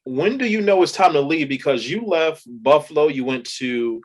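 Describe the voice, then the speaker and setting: A man's voice: on the high side, animated, normal speed. A man hosting a podcast, asking informal, loosely rephrased questions. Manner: informal, rephrases his questions, says "talk about that". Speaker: podcast host. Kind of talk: casual interview questions.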